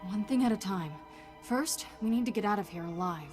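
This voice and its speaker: A plucky, defiant young companion in a sci-fi game, acted by a woman. Her mid-pitched voice is brisk and urgent. Music plays clearly behind her. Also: darkish tone, natural voice. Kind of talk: video game cutscene acting.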